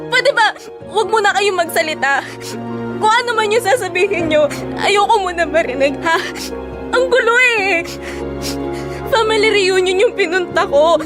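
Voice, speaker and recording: A woman's voice: very high-pitched, normal speed, long pauses, music in the background. A woman acting a hurt, guarded young woman in an emotional, pleading but firm manner for an audio drama. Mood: upset, overwhelmed.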